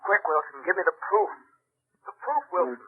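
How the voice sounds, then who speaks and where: An actor playing a grim, commanding crime-fighter in old-time radio drama. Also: male voice, high voice, very animated, normal speed, breathy edge, exaggerated voice. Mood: urgent. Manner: commanding, insistent. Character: grim, urgent male crime-fighting avenger. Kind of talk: 1940s radio acting. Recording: muffled, narrow vintage radio recording.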